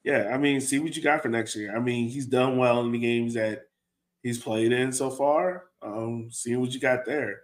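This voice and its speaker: A man's voice: mid-range voice, steady tone, moderate pace. Casual man chatting about sports. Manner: conversational, poses questions to co-host. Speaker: co-host of a soccer fan show.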